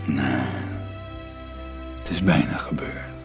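An actor in a radio soap playing a weary, dying older writer, exhausted and breathy, speaking tenderly as a father. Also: rumbling low, takes it slowly, over music, full character voice. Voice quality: breathy, raspy whisper breaking into voice. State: exhausted, weakened, whispery.

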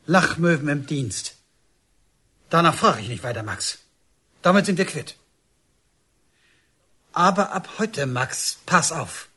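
A dutiful country policeman in a radio play. His voice is fairly high for a man and husky. He talks in a terse, stiff, insistent official manner. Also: lively intonation, slow, pauses often, sharp tone, heavily acted. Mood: warning. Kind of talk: German radio drama acting.

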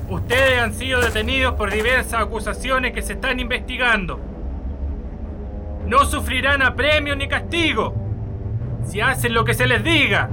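A cold, officious colonel in a radio drama. He declaims formally in a very high-set voice, with music clearly behind him.

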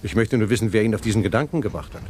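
An actor playing a nervous, evasive husband in a radio drama, dismissive and defensive. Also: male voice, on the low side, rapid-fire, a little mellow, slight background noise, lightly acted.

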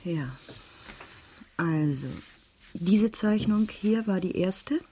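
A woman's voice: mid-range voice, expressive, extremely slow, mellow, a little hiss or hum. A woman playing a concerned schoolteacher under questioning in a radio crime drama, speaking in a careful, reflective, slightly hesitant way. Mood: thoughtful, hesitant. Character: concerned primary school teacher being questioned, thoughtful and defensive. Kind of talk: 1980s German radio drama dialogue.

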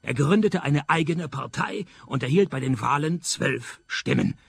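An actor in a radio play playing a cynical private detective who narrates and questions with dry sarcasm. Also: male voice, a little high, expressive, moderate pace, gravelly, breathy edge, no room sound, heavily acted. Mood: wry narrating. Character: sardonic, cynical male private detective narrating his case.

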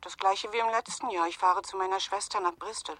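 An actress playing a gentle, independent office worker in a German radio drama, in plain conversational delivery. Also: female voice, medium pitch, steady tone, fairly quick, dry recording. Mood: tender.

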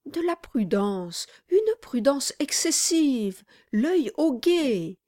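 A woman voicing an obsequious, timid male lawyer who wheedles and flatters. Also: sits high, extremely slow, pitched above the performer's own voice, exaggerated voice. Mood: wheedling. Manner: fawning, wheedling, deferential. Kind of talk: audiobook reading.

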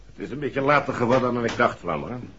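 A man's voice: medium pitch, lively intonation, fast, fairly bright tone, slight background noise, natural voice. An actor playing a hesitant, apologetic male visitor to a detective in a 1960s Dutch radio drama. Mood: uneasy, apologetic. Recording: dull, muffled 1960s radio recording.

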